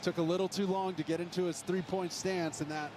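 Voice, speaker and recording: Male color analyst, fairly high voice, emphatic explainer, clear stadium noise behind him. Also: little pitch movement, fairly quick. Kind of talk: live broadcast sports analysis. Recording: TV game broadcast with loud stadium noise.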